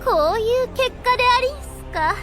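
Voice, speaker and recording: Very high, bright girlish anime guardian. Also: animated, normal speed, soft background music. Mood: smug, coy. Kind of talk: Japanese anime audio drama acting.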